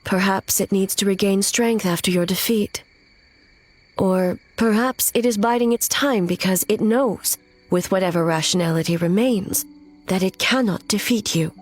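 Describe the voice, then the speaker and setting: Game role: female shogun, mid-pitched, grave and formal, measured delivery actress performing, music underneath. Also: close to an everyday voice. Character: solemn, resolute female shogun, ancient warrior ruler. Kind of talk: video game cutscene acting.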